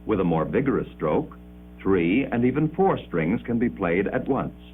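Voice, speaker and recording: A man delivering formal, measured 1950s educational film narration with precise enunciation, on an old muffled soundtrack. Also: lowish voice, sing-song, soft background music.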